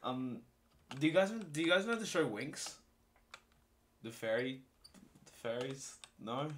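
Playful young man with lively voice. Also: mid-range voice, measured pace, slight background noise. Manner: playful, jokey, laughs often. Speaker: gamer. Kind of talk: casual gaming chat.

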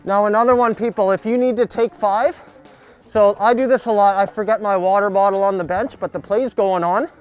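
A young man outdoors giving a jokey sports tutorial, coaching in a mock-serious, deadpan way full of hockey slang. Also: sits high, fairly quick, clean tone. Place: backyard, outdoors. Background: music.

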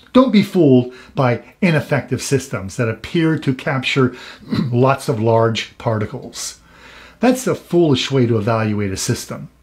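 A man's voice: mid-range voice, darkish tone. A man in his fifties, an industry expert hosting a show, giving scripted advice straight to camera in an earnest, cautionary way. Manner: earnest, cautionary, emphatic warnings.

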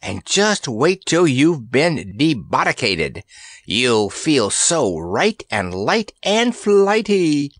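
Oily storybook monster, male narrator acting: gloating, menacing, slow and wildly swooping in pitch. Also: big pitch swings, a bit bright, dry recording, clean studio sound, heavily acted. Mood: gloating menace.

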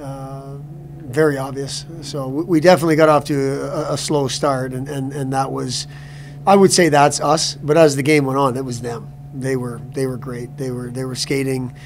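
A middle-aged male hockey coach answers reporters after a game in a steady, measured way. He stumbles and repeats words as he works through his answers. A clear hum runs behind his voice. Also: mid-range voice. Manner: halting, repeats words, many restarts. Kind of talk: postgame press conference. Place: press room before a sponsor backdrop.

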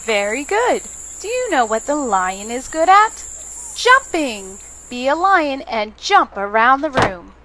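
A woman's voice: high-pitched, very animated, takes it slowly, bright, forceful tone. A woman narrating a children's storytime, putting simple questions and instructions to young listeners. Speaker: children's librarian reading stories.

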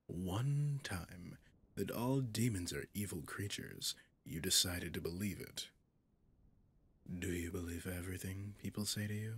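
A man voicing a seductive, possessive, menacing demon in an ASMR horror roleplay, husky and often whispering, with a teasing, condescending drawl. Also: sits low, fairly bright tone, no room sound, exaggerated voice. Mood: taunting.

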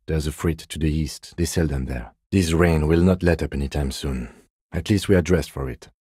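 An actor playing a composed, courteous police lieutenant in a video game, with measured, dryly sardonic, deadpan delivery and a low, husky voice. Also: male voice, no room sound, clean studio sound. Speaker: game character, police detective. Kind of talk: video game dialogue voice lines.

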